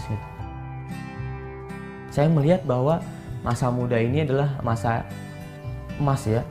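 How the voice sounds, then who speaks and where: Young guy around 20 chatting in an interview, guitar music behind him. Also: mid-range voice. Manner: informal, often ends phrases with "gitu". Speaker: young entrepreneur being interviewed. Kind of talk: interview talk, explaining his business.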